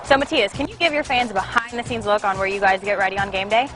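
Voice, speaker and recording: A woman's voice: medium pitch, fast, a bit bright, soft background music. A young woman hosting a sports interview, bubbly and chatty as she introduces her guest and asks questions. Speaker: interviewer and host.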